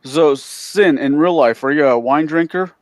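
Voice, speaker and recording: A man chatting loudly and boisterously during a tabletop game livestream. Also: fairly high pitch, unhurried, dry recording. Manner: loud, boisterous talker. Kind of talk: casual chat on a livestream.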